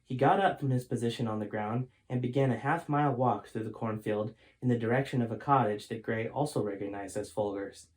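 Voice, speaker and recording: A man narrates a storybook with a middling pitch. His reading is expressive and emphatic, in a dramatic storytime style. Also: a little fast, few pauses, rough recording. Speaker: author reading own book aloud.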